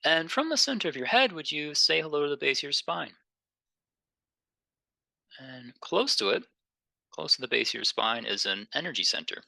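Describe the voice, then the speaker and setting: A man leading a guided meditation in a gentle, unhurried, conversational way, pausing between phrases. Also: a little high, very animated, gravelly, dry recording.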